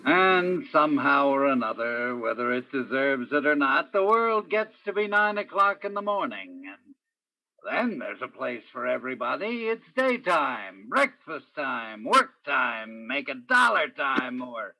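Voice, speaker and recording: A man performs a world-weary radio-play detective with a bright, forceful voice. He tells his case dramatically, in terse hardboiled lines that turn poetic and moody, like a 1950s noir broadcast. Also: mid-range voice, sing-song, a little slow, creaky, full character voice, poor audio. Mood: brooding, poetic.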